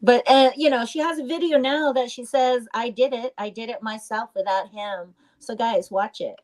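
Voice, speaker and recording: A woman's voice: fairly high pitch, fairly even intonation, normal speed, a little mellow, low-quality recording. A woman chatting casually on a live stream as a co-host, with an informal, outspoken and indignant way of talking.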